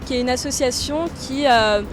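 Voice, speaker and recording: Young woman student, bright high voice. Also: moderate pace, music in the background. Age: 20s. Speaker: business school student. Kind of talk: interview answers.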